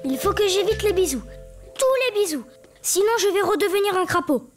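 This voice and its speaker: A woman's voice: very high voice, animated, fairly quick. A woman playing an earnest, eager little superhero boy in a French cartoon. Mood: earnest, anxious. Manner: eager, childlike. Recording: loud music behind the voice.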